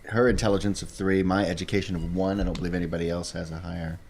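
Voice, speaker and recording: Deep-voiced man playing a tabletop game. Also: flat delivery, quick pace, creaky, a little mellow, a little hiss or hum. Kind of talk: casual tabletop game chat.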